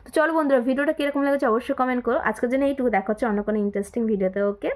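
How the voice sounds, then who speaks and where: High-voiced woman hosting a commentary video. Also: rapid-fire. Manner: repeats words for emphasis, mixes in English phrases. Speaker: video host commenting on viral clips. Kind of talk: casual commentary addressed to viewers.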